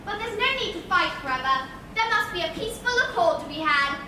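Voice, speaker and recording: A woman's voice: very high-pitched, expressive, fast talker, bright, forceful tone, heavily acted. Stage role: protective, urgent young girl woman performing, distant and strongly echoing in a theatre. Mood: earnest. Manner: urgent, emphatic projected delivery. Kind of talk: live stage play acting. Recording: echoey theatre, distant mics, noisy room.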